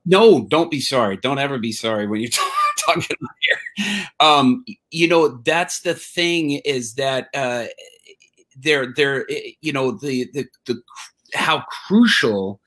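A man hosting a casual interview, speaking haltingly with repeated words and encouraging interjections. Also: mid-range voice, lively intonation, a bit bright. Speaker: host.

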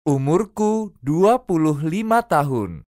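A woman reading short phrases slowly and clearly, each repeated for language learners. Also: deep voice, sing-song, darkish tone, dry recording, clean studio sound. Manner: careful, clearly enunciated. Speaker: native Indonesian speaker reading phrases.

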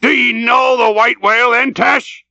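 A man's voice: high-pitched, unhurried, gravelly, pushed and bright, no echo, muffled recording, voice pushed up, heavily acted. A man voicing an old, obsessed whaling captain in an audiobook, fierce and commanding, with vehement, archaic, declamatory speech. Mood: fierce excitement.

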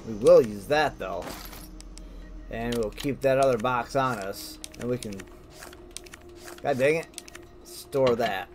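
A man's voice: mid-range voice, slow, creaky, long pauses, a little mellow, soft background music. A man giving casual let's play commentary, talking to himself in folksy slang with some mild swearing. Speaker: gamer, video host. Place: indoors, over game audio.

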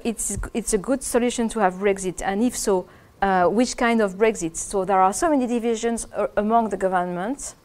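A woman's voice: a little high, a little mellow. A woman in her fifties, an economist answering audience questions in a seminar room, thoughtful and hesitant with many restarts. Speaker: economist giving a seminar talk.

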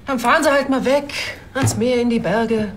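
An actress playing a brisk, matter-of-fact police superior in a television crime comedy. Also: female voice, fairly high pitch, slight background noise, close to an everyday voice. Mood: conciliatory. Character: brisk female police superior, strict but fair.

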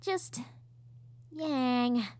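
Earnest, playful teen little sister, played by a woman, very high voice, unhurried, audio drama. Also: darkish tone. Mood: earnest, teasing.

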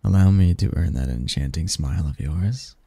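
A man playing a possessive, teasing sleep demon lover in an intimate ASMR roleplay, speaking softly in formal, flirtatious phrases. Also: deep voice, moderate pace, vocal fry, slightly rough, dry recording, heavily acted. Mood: teasing, seductive. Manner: flirtatious, formal wording, soft intimate delivery, chuckling.

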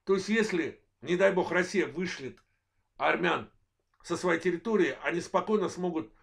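A man in his forties from Azerbaijan with a worn voice, delivering a political analysis monologue in measured, halting short phrases as host of his own channel. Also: high-pitched, vocal fry, fairly bright tone. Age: 40s. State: voice worn, apologizes for it.

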